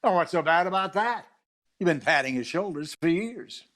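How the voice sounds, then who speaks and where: A man's voice: a little high, animated, moderate pace, a bit bright. A man playing a vain, breezy ladies' man with a boastful, jaunty manner in 1940s-style radio comedy.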